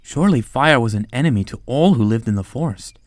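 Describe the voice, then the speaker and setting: A man's voice: medium pitch, animated, fast talker, no echo. A man reading scripted audiobook narration as a storyteller.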